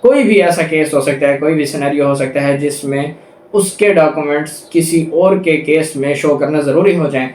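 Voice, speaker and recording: A man with a Pakistani accent giving a law lecture, reading out statutes and explaining them. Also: a little high. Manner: slow, deliberate English reading, quicker Urdu explanations with English legal terms. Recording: echoey room, distant microphone.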